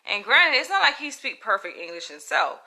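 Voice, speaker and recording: A woman with a Southern US accent talks to camera in a piercing, very bright voice. She tells her story frankly and with lots of animation. Her speech is loose, casual and sprinkled with profanity. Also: a little high, big pitch swings, fairly quick. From Florida, US. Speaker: comedian.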